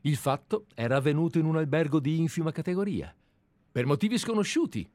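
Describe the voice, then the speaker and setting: A man's voice: fairly high pitch, lively intonation, fairly quick, darkish tone, no echo, natural voice. A man reading the part of a fawning, meticulous male amateur investigator in a radio play, talking with effusive enthusiasm. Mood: enthusiastic.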